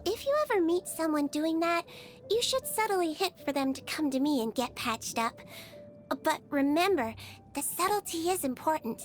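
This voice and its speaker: A woman voicing a small, sweet, childlike nurse in a video game, speaking in a gentle, chatty and earnest way. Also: high-pitched, animated, normal speed, a little hiss or hum. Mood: earnest, caring. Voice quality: childlike, cutesy. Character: small sweet girlish nurse creature, caring and cheerfully earnest. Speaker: infirmary nurse. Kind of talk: video game character voice lines.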